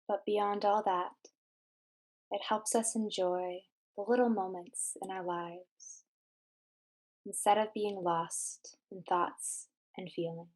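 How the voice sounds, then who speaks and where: A woman's voice: medium pitch, steady tone, darkish tone. Woman guiding a meditation. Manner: measured, instructional, deliberate phrasing. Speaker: meditation guide. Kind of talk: scripted guided meditation narration.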